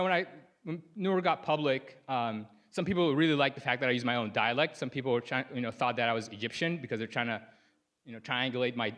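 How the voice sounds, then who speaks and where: A man's voice: medium pitch, a bit bright. Sudanese man giving a casual tech talk. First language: Arabic. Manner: casual, lots of "like" and "you know" fillers. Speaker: conference speaker. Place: conference stage.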